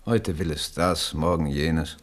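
A man's voice: extremely low pitch, measured pace. An actor playing a blunt, stern royal minister in a radio drama, speaking formally and firmly as he admonishes. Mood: admonishing, grave.

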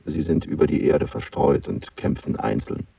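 Very deep, gravelly weary idealist. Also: male voice, moderate pace, dry recording, far from the mic, muffled recording, heavily acted. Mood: wistful, reflective. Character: weary, idealistic artist voicing his inner diary. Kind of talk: literary radio play monologue.

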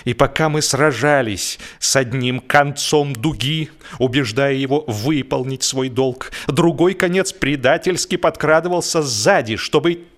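A man narrating a humorous first-person story expressively, with dramatic pauses and a shifting tempo. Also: medium pitch. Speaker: audiobook narrator. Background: music.

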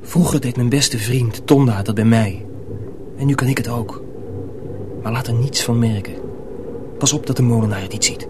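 An actor playing an earnest, protective young mill apprentice in a radio drama, measured and confiding. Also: male voice, mid-range voice, background noise, lightly acted. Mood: hushed, cautious. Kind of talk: radio drama narration and dialogue.

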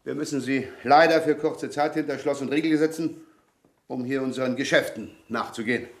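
An actor playing a cool, commanding gang leader in a radio crime play, polite but authoritative. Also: male voice, medium pitch, fairly quick, natural voice. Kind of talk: German radio play acting.

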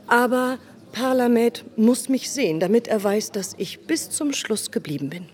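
An actress playing an effusive, insistent high-society lady in a radio drama, with an affected, emphatic manner. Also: expressive, moderate pace, darkish tone, natural voice.

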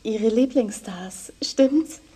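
An actress playing a seductive, mysterious, self-assured woman in a crime radio play, with breathy, teasing, coaxing delivery. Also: on the high side, drawn-out words, dark tone, exaggerated voice. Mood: teasing, coy. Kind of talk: 1990s German crime radio play acting.

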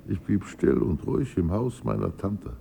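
An actor playing a proud, egocentric, nostalgic poet in a literary radio drama, speaking in a theatrical, declamatory way. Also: male voice, fairly low pitch, lively intonation, normal speed, creaky, warm tone, a little hiss or hum. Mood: impassioned. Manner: theatrical, declamatory, swinging from murmur to outburst.

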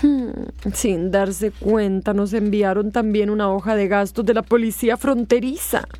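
An actress playing an anxious, deferential office assistant in a radio drama, hesitant and confiding. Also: female voice, mid-range voice, fairly even intonation, moderate pace, warm tone, lightly acted. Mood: puzzled.

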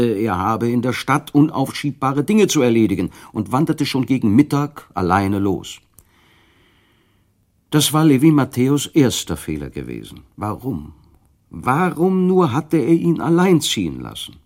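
A man narrating a literary radio play, measured and expressive, rising with the drama. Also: mid-range voice.

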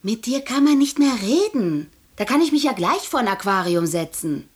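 An actress playing an exasperated, reproachful wife in a radio play. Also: female voice, fairly high pitch, big pitch swings, normal speed, a little hiss or hum. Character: exasperated, nagging wife of an unemployed man. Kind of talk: radio drama dialogue.

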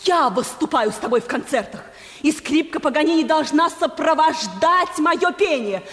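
An actress playing a vain, spiteful singer with a theatrical, scornful delivery in a 1950s radio drama. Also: female voice, high voice, expressive, normal speed, fairly bright tone. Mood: bitter, exasperated. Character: spiteful, vain female singer, a violinist's embittered partner. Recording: 1950s studio recording, long reverb.

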